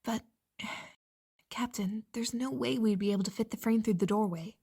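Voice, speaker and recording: Breathy, anxious castle subordinate. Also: female voice, fairly high pitch, moderate pace, warm tone. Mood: hesitant, practical. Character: anxious, deferential subordinate to a guard captain. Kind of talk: fantasy audio drama acting.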